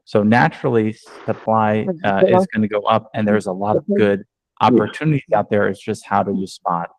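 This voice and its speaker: Low-voiced man leading an online training. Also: moderate pace, dry recording, rough recording. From New York. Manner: conversational, many ums and restarts. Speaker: real estate agent and trainer. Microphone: video call.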